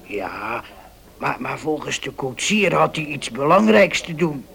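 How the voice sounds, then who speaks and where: An actor in a radio play as a chatty, concerned acquaintance, speaking in an earnest conversational way. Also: male voice, a little high, very animated, unhurried, gravelly, fairly bright tone, heavily acted. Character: chatty, concerned acquaintance bearing grim news.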